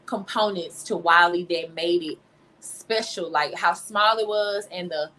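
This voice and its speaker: A woman's voice: lowish voice, unhurried, bright, forceful tone, slight background noise, rough recording. A young woman from Louisiana with a Southern American accent, chatting informally and emphatically as an interview guest. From Louisiana, United States. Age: young adult. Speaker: interview guest, singer and songwriter. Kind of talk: casual interview chat.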